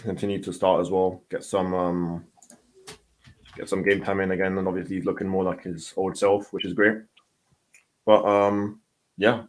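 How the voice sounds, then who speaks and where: Guy with a really low voice chatting football, lots of ums and restarts. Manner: rambling, hesitant with many ums. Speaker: podcast co-host. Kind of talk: casual football chat.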